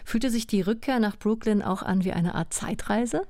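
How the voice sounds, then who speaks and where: A woman's voice: mid-range voice, moderate pace, mellow, no echo. A woman hosting a radio podcast, reading scripted introductions and posing interview questions.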